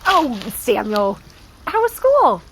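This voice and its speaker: An actress playing a playful, fussing middle-aged mother in an audio drama, with sing-song, drawn-out teasing. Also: sits high, wildly swooping pitch, fairly bright tone, a little hiss or hum, heavily acted, rough recording. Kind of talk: naturalistic audio drama acting.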